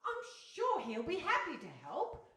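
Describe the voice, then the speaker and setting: A woman acts a bossy radio-play wife who is never satisfied. Her voice is high and very animated, with deliberate, emphatic pacing, heard a little far off in a roomy hall. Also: heavily acted. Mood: insistent. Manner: emphatic, gushing, insistent. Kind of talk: live radio play acting. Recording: echoey hall, distant microphone.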